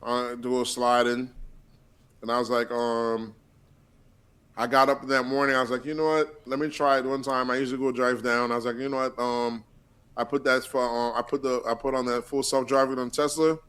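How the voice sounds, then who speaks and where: A man's voice: medium pitch, little pitch movement, moderate pace, a bit bright, clean tone. A man co-hosting a podcast in casual chat, joking and swearing loosely, often restarting his sentences. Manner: loose, laughing, swearing banter with frequent restarts. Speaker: podcast co-host.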